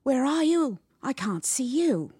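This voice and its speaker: A woman's voice: sing-song, unhurried, warm tone, breathy edge, no room sound, clean studio sound, exaggerated voice. A woman voicing a whining, pleading young boy bully in a children's storybook tale. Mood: terrified. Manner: shrill, pleading, wailing.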